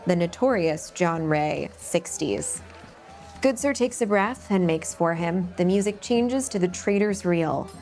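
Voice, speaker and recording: A woman hosting a table read, chatting briskly and then reading stage directions aloud. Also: on the low side, expressive, a little mellow, soft background music. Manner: brisk, upbeat, laughs easily. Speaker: host and script narrator.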